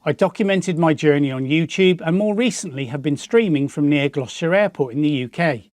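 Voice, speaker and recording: British guy explaining stuff for his channel, voice a bit high, really dry recording no room sound. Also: lively intonation, a little fast, darkish tone, no echo. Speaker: YouTube channel host and hobby pilot. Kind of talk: scripted explanatory narration to viewers.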